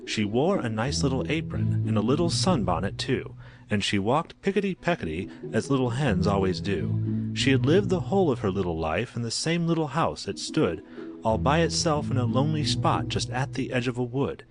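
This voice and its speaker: A man's voice: medium pitch, sing-song, normal speed. A man narrating a rhyming children's story as a storyteller, with a sing-song, rhythmic delivery. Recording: loud music under the voice. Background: music.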